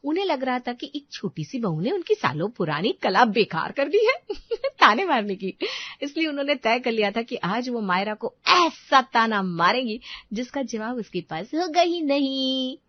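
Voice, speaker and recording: A woman narrating a cartoon moral story, with an expressive, scolding tone when she speaks as the mother-in-law. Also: a little high, moderate pace, no echo, muffled recording. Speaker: narrator and voice actor.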